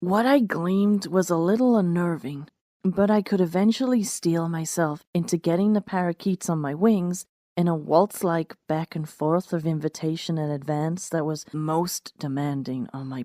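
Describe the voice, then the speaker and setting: A woman reads a first-person story as its narrator. Her voice sits fairly low for a woman, and the recording is close and dry, with no room sound. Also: moderate pace, warm tone, no room sound. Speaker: narrator and host of a fan fiction reading series.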